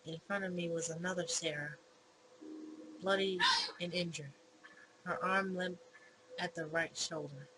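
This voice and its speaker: A woman's voice: on the low side, steady tone, unhurried, long pauses. A woman hosting a gaming video, narrating a visual novel dramatically and commenting casually. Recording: clearly noisy background.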